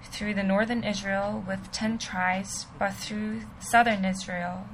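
A woman delivers a scripted sermon in a measured, formal, expository manner. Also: medium pitch, flat delivery, a little hiss or hum, low-quality recording. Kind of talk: scripted sermon narration, read aloud.

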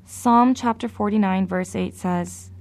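Slow, gravelly woman preaching a sermon. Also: mid-range voice, darkish tone. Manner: slow, measured and deliberate.

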